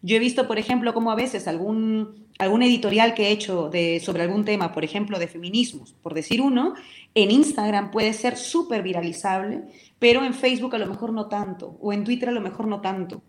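A woman's voice: mid-range voice, fairly quick. A woman from Peru with a Peruvian accent, a guest in a radio interview who speaks her opinions in a vehement, passionate way. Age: adult with a decade living abroad. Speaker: interview guest, talk show host and commentator. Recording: roomy echo.

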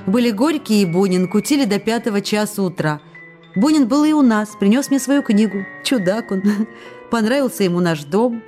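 A woman's voice: medium pitch, expressive, moderate pace, darkish tone, faint music behind, natural voice. An actress playing a passionate young stage actress reading out her love letters in a tender, intimate, imploring manner for a radio play. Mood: chatty.

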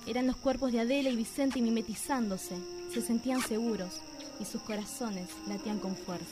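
A woman with an Argentine accent narrating a radio drama in a measured, suspenseful storytelling manner. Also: on the high side, fairly even intonation. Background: music.

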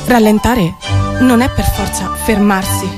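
Loud music with violin plays as a woman performs spoken-word poetry. Her voice sits at a medium pitch, and she delivers the text theatrically, with deliberate, rhythmic phrasing. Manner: deliberate, rhythmic, repeating phrases, at times half-sung.

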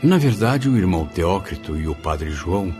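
An actor playing a grave, earnest spirit memoirist who narrates a radio drama formally and dramatically. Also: male voice, low-pitched, expressive, normal speed, over music. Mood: earnest recounting. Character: male spirit author recalling his memoirs, grave and earnest.

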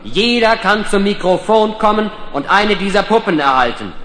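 A man acting a formal announcer in a 1970s German radio play, proclaiming loudly through an echoing microphone. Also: high voice, animated, moderate pace. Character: announcer handing out puppets to assembled settlers. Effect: public address microphone echo. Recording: echoey hall, noisy ambience.

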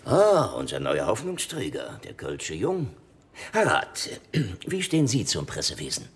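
Casting: condescending police boss, radio drama, low voice with extreme swoops. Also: male voice, wildly swooping pitch, unhurried, slight background noise, full character voice. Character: imposing male police superior, drily condescending. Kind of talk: German radio drama acting.

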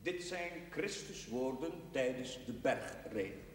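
An actor playing an earnest young chaplain in a television drama, preaching in solemn, measured, declamatory phrases. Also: male voice, medium pitch, lively intonation, a little hiss or hum, natural voice. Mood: solemn, reverent. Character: earnest young newly arrived chaplain preaching to his parish. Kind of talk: solemn rhetorical sermon, television drama acting. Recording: echoey church, distant from the microphone.

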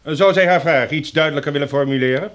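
An actor playing a stern, pompous community leader who lectures in formal, measured oratory in a radio drama. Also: male voice, on the high side, fairly bright tone, natural voice. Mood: cool, unbending. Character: stern, pompous male leader lecturing survivors on new rules.

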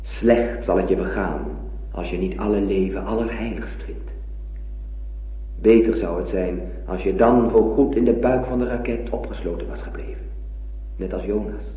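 Casting: man as grave declamatory preacher for space radio drama, low register, big roomy echo. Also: moderate pace, close to an everyday voice. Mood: solemn, exhorting. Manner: solemn, declamatory, oratorical. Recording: echoey, dull lo-fi radio recording.

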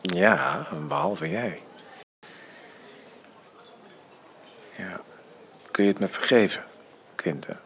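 An actor playing a frail, broken older father in a radio drama, speaking haltingly and stammering through broken-off phrases. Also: lowish voice, very animated, creaky, a bit bright, heavily acted. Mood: resigned, remorseful. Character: frail, broken, big older father, estranged from his son. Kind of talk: naturalistic radio drama acting.

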